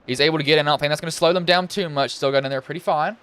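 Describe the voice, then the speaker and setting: A young man with a slightly deep voice commentating a sim truck race live, casual and rambling, getting excited when cars wreck. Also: very fast, clean tone. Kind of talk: live sim racing play-by-play.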